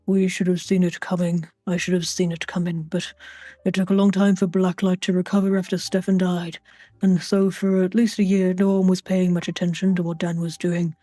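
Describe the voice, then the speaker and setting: A brooding monster man acted out by a woman narrates his past in a storybook reading. The voice is deep and strained, dark and very even, solemn and halting. It is recorded dry with faint music behind. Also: dry recording, close to an everyday voice. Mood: grave, regretful. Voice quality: deep, throat-strained put-on voice.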